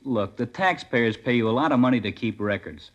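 A man's voice: mid-range voice, a little fast, muffled recording, natural voice. An actor playing a sarcastic, defiant sixteen-year-old delinquent boy in a 1950s police drama, sneering through his answers.

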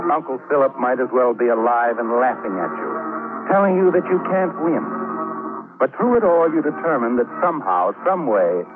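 An actor plays a stern male narrator of a classic radio melodrama. His delivery is insistent and dramatic, rising and falling widely over clear music. The sound is a narrow, muffled old broadcast. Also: medium pitch, close to an everyday voice.

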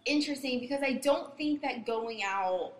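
A woman in her twenties talking reflectively in a casual chat and giving gentle advice. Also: a little high, moderate pace. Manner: reflective, gives advice with many "like"s. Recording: echoey room with faint noise.

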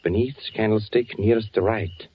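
A male actor playing a cautious, brisk spy handler in a 1940s radio thriller, with clipped, instructive delivery. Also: fairly low pitch, close to an everyday voice. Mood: businesslike, wry. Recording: muffled, noisy 1940s radio recording.

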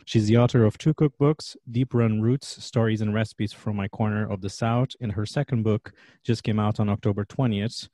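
A middle-aged man from Portugal with a Portuguese accent, hosting a food podcast with an upbeat, energetic manner. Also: fairly low pitch, no room sound, clean studio sound. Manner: energetic upbeat intro, quieter when asking questions. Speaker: podcast host and chef. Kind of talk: podcast interview and ad read.

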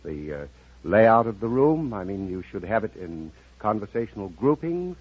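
A man's voice: fairly low pitch, very animated, moderate pace. A man answering questions earnestly and a bit hesitantly as a quiz show contestant. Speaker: quiz contestant, interior decorator. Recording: dull old radio recording, faint noise.

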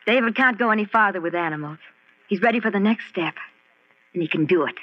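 Actress as devoted, fearless wife, muffled radio sound. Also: female voice, mid-range voice, fast talker, a little hiss or hum, lightly acted. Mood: persuasive. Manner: earnest, emphatic. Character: devoted, fearless scientist's wife, unwavering believer. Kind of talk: old-time radio horror acting. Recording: dull, band-limited old radio recording.

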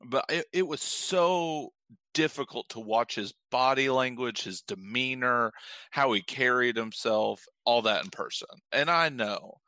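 A man's voice: lively intonation, measured pace, sharp tone, no echo. A man co-hosting a casual sports podcast, talking in rambling, frustrated bursts with many restarts. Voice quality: deep voice. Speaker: podcast co-host.

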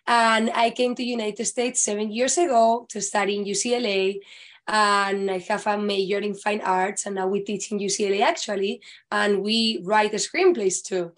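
A woman's voice: medium pitch, steady tone, moderate pace. A young woman from Spain with a Spanish accent, a novelist guest on a podcast, speaking English cheerfully. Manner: cheerful, brief affirmations. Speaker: author guest.

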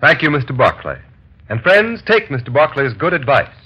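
A man's voice: mid-range voice, very animated, moderate pace, bright, forceful tone, slightly rough. A male announcer reading a script in emphatic, formal 1930s radio style. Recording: muffled old radio broadcast.

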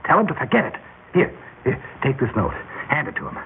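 A man's voice: mid-range voice, wildly swooping pitch, a little fast, vocal fry. An actor playing a ruthless crime boss in a classic radio drama, with clipped, commanding tough-guy talk that turns nervous under pressure. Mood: anxious, urgent. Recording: tinny, noisy old radio broadcast.

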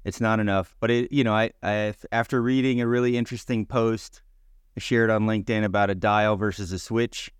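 A man co-hosts a podcast in a casual, conversational way. His voice sits slightly low and sounds clean and smooth, recorded dry and close. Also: fairly even intonation, fairly quick, dry recording. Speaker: podcast co-host.

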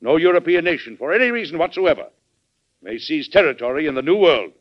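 An actor playing a hearty, forceful American president, emphatic and statesmanlike, in an old-time radio drama. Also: male voice, on the high side, animated, fast talker, natural voice. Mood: firm, forceful. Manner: emphatic, declamatory. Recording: dull vintage radio recording.

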